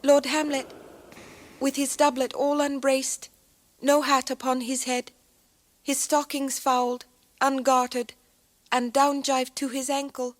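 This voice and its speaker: Slow, high-voiced frightened young noblewoman. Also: flat delivery, dry recording. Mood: frightened, measured. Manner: slow, mechanically spaced, fragmented delivery. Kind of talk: 1980s experimental radio drama acting.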